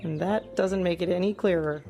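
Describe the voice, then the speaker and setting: A woman's voice: fairly low pitch, normal speed, mellow, soft background music, close to an everyday voice. A woman playing a blunt, skeptical lady with secrets in a comedy audio drama, speaking matter-of-factly.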